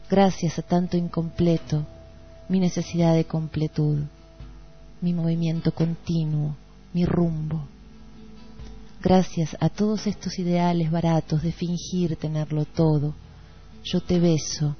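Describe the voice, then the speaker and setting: An Argentine woman reads a poetic literary text aloud on the radio in measured, declamatory phrases. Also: deep voice, breathy edge. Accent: Argentine Spanish. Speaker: radio host. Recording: dull lo-fi radio audio. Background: music playing under the voice.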